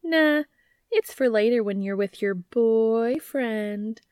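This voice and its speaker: Excitable, teasing young woman, as a reader voices her. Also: fairly high pitch, slow, smooth, no room sound, clean studio sound. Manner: gushing, rambling, playful. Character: excitable, teasing young female bookstore employee.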